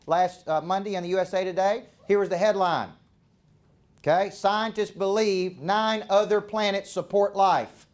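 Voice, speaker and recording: A man's voice: high-pitched, a little mellow. A man with a Southern American accent coaching a live audience in a punchy seminar style, firing off rhetorical questions and checking that the room is with him. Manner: punchy, keeps checking in with the crowd. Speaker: business coach.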